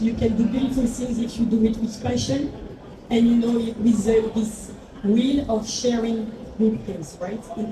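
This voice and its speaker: Woman, forties, non-native English speaker with a foreign accent, reflective founder's talk, slightly high voice, roomy distant noisy recording. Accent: foreign, not Mexican. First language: not English. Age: 40s. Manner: reflective, searching for words, many fillers. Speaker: tequila brand founder. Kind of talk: talk to an audience. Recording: echoey room, far from the mic, noisy.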